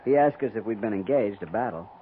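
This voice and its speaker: Man as an animated, eager cavalry lieutenant, old radio. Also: on the low side, fast talker, phone-quality sound, natural voice. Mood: puzzled, respectful. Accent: American. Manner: polite, earnest, slightly hesitant. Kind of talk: 1950s radio western acting. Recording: thin, noisy 1950s radio recording.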